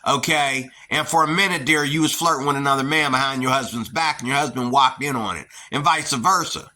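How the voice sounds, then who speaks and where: Forceful, preachy American man. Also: medium pitch, brisk. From United States. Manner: preachy and blunt, scolding with emphatic repetition. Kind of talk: heated opinion monologue.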